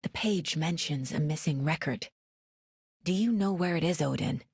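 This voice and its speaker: An actress playing a determined, sharp and guarded detective and mother in a video game, with naturalistic delivery. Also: low voice, moderate pace, slightly rough, dry recording, clean studio sound. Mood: focused.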